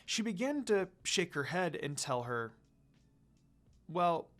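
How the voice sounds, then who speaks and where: A woman reading fan fiction aloud as an expressive storyteller. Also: on the low side, moderate pace, soft background music.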